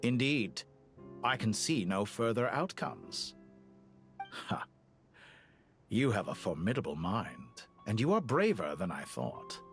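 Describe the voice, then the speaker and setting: An actor playing a cold, cultured villainous scientist in a video game, speaking in eloquent, measured, theatrical phrases. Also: male voice, lowish voice, sing-song, exaggerated voice. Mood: resigned admiration. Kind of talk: scripted video game voice acting. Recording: music under the voice.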